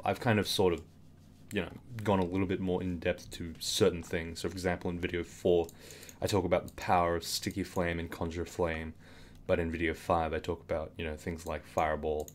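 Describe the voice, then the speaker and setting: A man with a slightly raspy voice giving casual commentary on a game tutorial, rambling with fillers and restarts, keyboard clicks behind him. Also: low-pitched, slight background noise.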